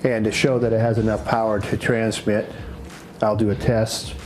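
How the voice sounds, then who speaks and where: A man giving an unscripted product demonstration in a halting, matter-of-fact way, as a presenter explaining equipment. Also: on the low side, flat delivery, noisy background. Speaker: presenter demonstrating radio gear. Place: indoors in a house.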